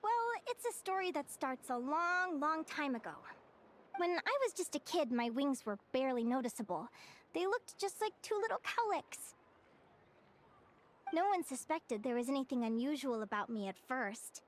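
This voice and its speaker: A woman's voice: sits high, lively intonation, normal speed, slight background noise. A woman voicing a cheerful, friendly young winged changeling girl in a video game. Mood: reflective storytelling. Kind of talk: English video game voice acting.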